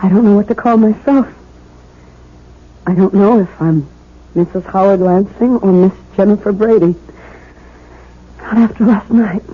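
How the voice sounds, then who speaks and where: A fragile, anxious young bride played by a woman in a vintage radio mystery speaks haltingly and plaintively. Her voice sits at a middle pitch, slightly muffled by the old broadcast. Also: slightly rough, lightly acted. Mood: uneasy, polite. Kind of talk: 1970s radio drama acting.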